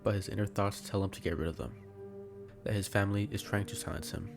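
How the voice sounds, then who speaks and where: A man's voice: on the low side, fairly even intonation, fast, creaky, music in the background. A man giving scripted narration, recapping a horror story as a video essay narrator.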